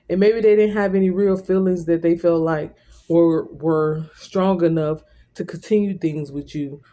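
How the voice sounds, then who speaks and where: A man with a fairly high voice and a soft, dark-edged, mellow tone gives an informal tarot reading. He speaks straight to the listener, conversationally, often circling back over the same point. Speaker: tarot reader.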